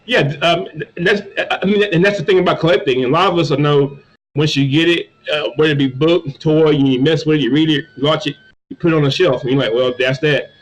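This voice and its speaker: A man from North Carolina talks as a guest in a relaxed interview, tripping over his words and repeating them often. His voice sits a bit higher than most men's. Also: fast talker, low-quality recording. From North Carolina, USA. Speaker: guest, toy reviewer. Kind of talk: casual interview chat.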